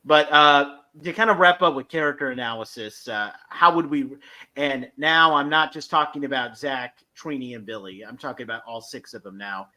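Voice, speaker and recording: Bright-voiced man rambling through a fan discussion. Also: medium pitch. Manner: rambling, halting, enthusiastic. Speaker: podcast co-host. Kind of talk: casual fan review discussion.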